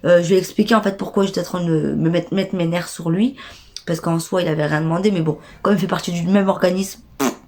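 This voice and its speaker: A woman's voice: on the low side, fairly even intonation, fast talker, darkish tone. A young woman with a stuffy nose from a cold tells an indignant, slangy story straight to her viewers. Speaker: vlogger. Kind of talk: casual storytime rant.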